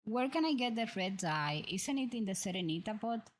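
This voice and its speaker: A woman's voice: medium pitch, lively intonation, moderate pace. A woman chatting casually as she hosts a game livestream, talking straight to viewers and often checking in with tag questions. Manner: conversational, addresses viewers with frequent tag questions. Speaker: live streamer, host. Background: background music.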